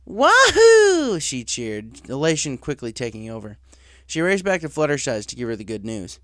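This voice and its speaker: A man's voice: medium pitch, animated, moderate pace, no room sound. Male storyteller, dry close sound. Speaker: audiobook reader of fan fiction. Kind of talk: scripted story narration.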